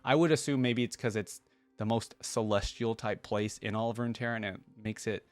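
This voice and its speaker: A man's voice: lowish voice, a little fast, no echo. A man hosting a reaction video, giving casual, chatty commentary and thinking aloud in an informal way.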